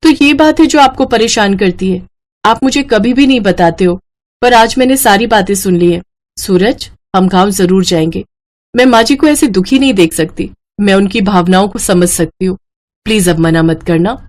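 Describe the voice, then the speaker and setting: A woman's voice: mid-range voice, moderate pace, darkish tone, lightly acted. A woman voicing an earnest, polite young city-bred daughter-in-law in a story, speaking respectfully. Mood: earnest, insistent. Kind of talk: acted Hindi moral story dialogue.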